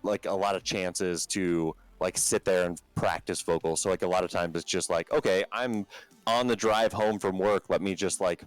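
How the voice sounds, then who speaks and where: A man with a low talking voice, a band's vocalist as a podcast guest, chatting casually and rambling with many fillers and restarts. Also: fairly even intonation, normal speed, dry recording, rough recording.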